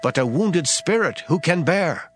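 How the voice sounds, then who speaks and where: A man recites scripture in a measured, solemn way, and his pitch rises and falls a great deal from phrase to phrase. Clear music plays steadily behind him. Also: lively intonation. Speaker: scripture reader. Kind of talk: dramatized scripture reading. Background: background music.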